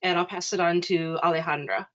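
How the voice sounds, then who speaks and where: Bright, upbeat woman from Hawaii. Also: on the low side, fairly even intonation, fairly quick, highs cut off. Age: 30s. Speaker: panelist.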